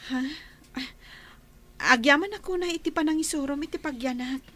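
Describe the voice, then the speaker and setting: A woman's voice: high-pitched, fairly quick, bright, forceful tone. A woman voicing a polite young nurse, newly hired and unsure of herself. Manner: polite, hesitant. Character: humble young nurse seeking work.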